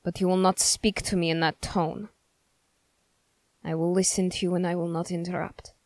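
A woman voicing a stern, suspicious young male witch hunter in an ASMR roleplay, speaking formally and accusingly with deliberate pauses. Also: on the low side, flat delivery, no echo, lightly acted. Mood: suspicious, cold. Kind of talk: ASMR character roleplay, scripted.

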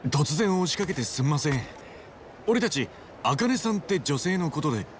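An actor voicing an earnest, friendly man of about forty with a blunt, casual, rough-edged way of speaking in a video game cutscene. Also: a little high, sing-song, moderate pace, slight background noise. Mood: lively, friendly.

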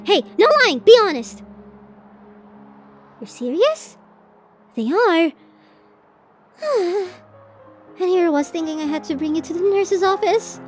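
A woman's voice: very high-pitched, lively intonation, slow, pauses often, soft background music, heavily acted. A woman voicing a bashful, tender tsundere college girlfriend in a romantic ASMR roleplay, flustered and hesitant as she swings between protest and affection. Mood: flustered, indignant.

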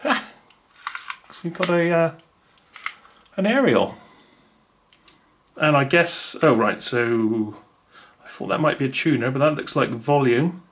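A man's voice: mid-range voice, lively intonation, unhurried, long pauses, a bit bright. A man, thinking aloud with many fillers as he unboxes a gadget at a table indoors. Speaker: unboxing video host. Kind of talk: casual unboxing commentary.

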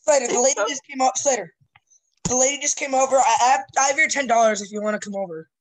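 A man's voice: extremely high pitch, steady tone, fast, a bit bright. A teenage male chatting casually with a friend over a video call. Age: teens. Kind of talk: casual chat between friends.

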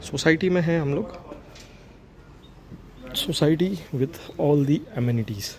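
A man's voice: on the high side, drawn-out words. A man walking through an empty house, casually pointing out each room for a property tour video. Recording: phone video with clear room noise.

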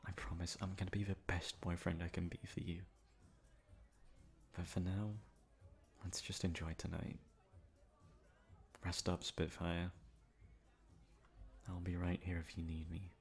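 Casting: male actor as tender, clingy playboy boyfriend, lowish voice, soft intimate ASMR romance delivery. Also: completely flat delivery, a little slow, long pauses. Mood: tender, affectionate.

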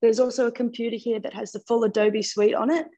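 A woman's voice: fairly high pitch, steady tone, quick pace, mellow. A young Australian woman giving a casual, informative tour as a student guide. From Mildura, Victoria, Australia. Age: young adult. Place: large studio room.

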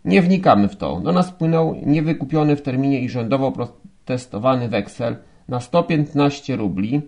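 A man's voice: mid-range voice, lively intonation, moderate pace, darkish tone, dull audio, pitched above the performer's own voice. A man voicing a condescending, officious office clerk in an audiobook, formal and smug. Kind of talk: audiobook character acting.

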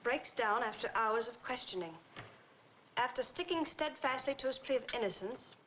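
An American actress playing a poised, defensive young wife in a 1940s film noir. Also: female voice, fairly high pitch, a bit bright, slight background noise, natural voice. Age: young adult. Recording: dull 1940s film soundtrack with music.

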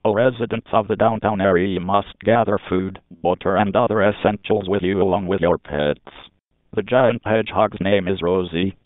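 A low-pitched male announcer recites an emergency alert in a formal, synthetic tone. The sound is narrow and tinny, like a telephone line, with a dry finish. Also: steady tone, normal speed, no echo. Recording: tinny phone-like band.

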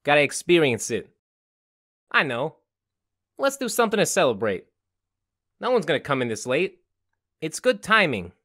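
A man's voice: fairly high pitch, very animated, moderate pace, pauses often, pushed and bright, no echo, studio quality, full character voice. A man voicing a cocky, restless young drifter vampire in a visual novel game, with breezy, slangy, teasing talk.

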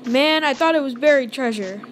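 Chatty young female gamer, high voice. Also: a little fast, a little hiss or hum. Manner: chatty, upbeat, meandering recap. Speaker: gaming channel host. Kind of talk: casual gameplay commentary.

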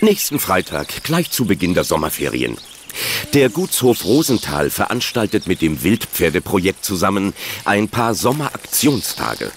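An actor playing a kindly, gently teasing father of a teenager in a German children's audio drama. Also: sits low, big pitch swings, moderate pace, slight background noise. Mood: friendly.

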